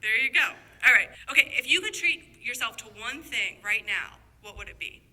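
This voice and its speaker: A woman's voice: on the high side, lively intonation, fairly quick, pushed and bright. A woman hosting a casual, chatty interview in an encouraging, affirming way. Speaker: host, interviewer.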